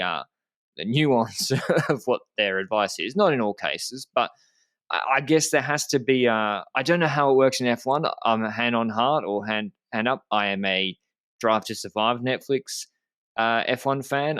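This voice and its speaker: Low, clear-voiced man podcasting. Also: a bit bright, no echo. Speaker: podcast host. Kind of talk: casual podcast discussion.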